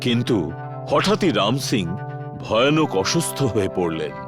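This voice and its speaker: A man's voice: medium pitch, big pitch swings, moderate pace. A man narrating a scripted horror story in a dramatic storytelling style. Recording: music behind the voice.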